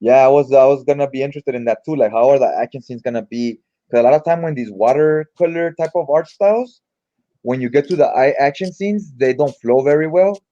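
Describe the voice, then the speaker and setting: A man's voice: medium pitch, brisk, mellow, lo-fi sound. A man giving casual read-along comic commentary in an informal, slangy, mildly profane way. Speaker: comic book reviewer.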